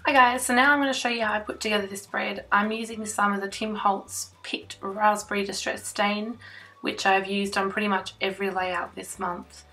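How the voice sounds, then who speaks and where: A young woman talks through her scrapbook page in a chatty, self-conscious way, full of little ums. Her voice is croaky, still rough from laryngitis. Soft music plays faintly underneath. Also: medium pitch, fairly even intonation, moderate pace, low-quality recording. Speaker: scrapbooking vlogger. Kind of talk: casual crafting voiceover. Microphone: voice-over recorded separately from the filmed table.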